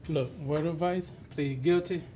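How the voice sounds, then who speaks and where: A blunt adviser played by a man gives counsel in a filmed courtroom drama. His voice is a little high for a man. Faint noise is behind him. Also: steady tone, moderate pace, warm tone, close to an everyday voice. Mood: urgent. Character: blunt male adviser urging client in court.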